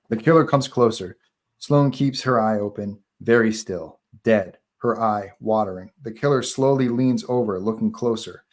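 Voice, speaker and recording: A man narrates a film script at a live table read, delivering scene headings and stage directions. His voice is fairly low.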